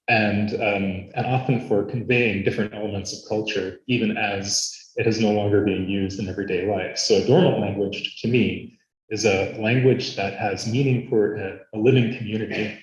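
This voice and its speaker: A man from Arizona, whose first language is Latvian, giving a measured conference lecture with frequent fillers. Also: lowish voice, echoey room, highs cut off. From Arizona, United States. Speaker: academic speaker.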